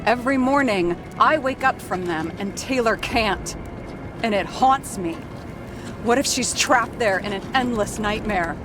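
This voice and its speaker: An actress playing a blunt, troubled woman investigator in a dystopian noir video game, direct, questioning and sharp-tongued. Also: a little high, a little slow, music in the background, close to an everyday voice. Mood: haunted. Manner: direct, questioning, foul-mouthed. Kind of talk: cinematic video game dialogue.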